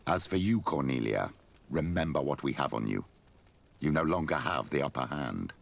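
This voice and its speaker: A man's voice: extremely low pitch, animated, moderate pace, heavily acted. An actor playing a sly, ambitious old clergyman burdened by guilt, speaking formally and theatrically in a video game. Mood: solemn. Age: older. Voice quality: gravelly, husky. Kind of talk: video game cutscene acting.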